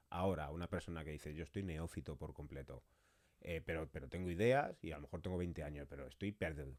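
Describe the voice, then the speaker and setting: A man's voice: very deep voice, a little mellow. A man from hosts a podcast interview. His talk is casual and informal, sketching out scenarios and prodding for answers. The recording is dry and free of room echo. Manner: informal, poses hypothetical scenarios, prompts the guest. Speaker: podcast host interviewing a guest.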